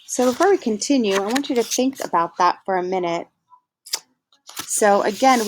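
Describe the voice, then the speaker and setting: A woman teacher reading a novel aloud to her class, casually pausing to pose questions and give instructions with fillers. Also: medium pitch, noisy background.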